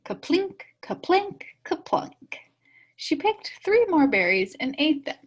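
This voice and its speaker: A woman reads a storybook aloud in a high, lively voice that swings widely in pitch. She takes her time, enunciating deliberately and playing up the sound words for young listeners. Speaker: storybook reader. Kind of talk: expressive children's story read-aloud.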